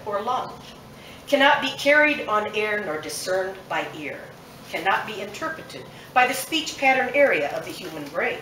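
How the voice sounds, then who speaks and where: A woman's voice: medium pitch, a bit bright, a little hiss or hum. A woman poet performing her poem live at a bookshop event, with a rhythmic, emphatic delivery that builds through long lists. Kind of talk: live performance poetry reading. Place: bookshop at a poetry festival event. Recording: echoey room, mic set far off.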